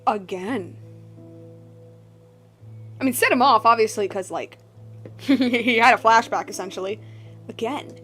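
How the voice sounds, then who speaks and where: A woman streaming a video game with casual, slangy, excitable commentary. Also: a little high, unhurried, long pauses. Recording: music behind the voice. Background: game music.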